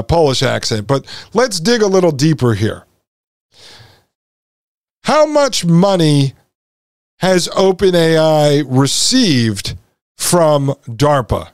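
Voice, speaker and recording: A man hosts a talk show in a conversational, explanatory way. His voice sits a little higher than most men's, and the sound is very dry, with no room around it. He speaks straight to his audience as he lays things out. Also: dry recording. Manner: addresses listeners as folks, explanatory. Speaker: podcast host. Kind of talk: conversational show commentary to listeners.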